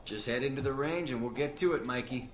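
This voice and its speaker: A man's voice: mid-range voice, a little fast, lightly acted. An actor playing a cocky, teasing, smug male agent trainer in a video game. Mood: cocky, goading. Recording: roomy echo, music behind.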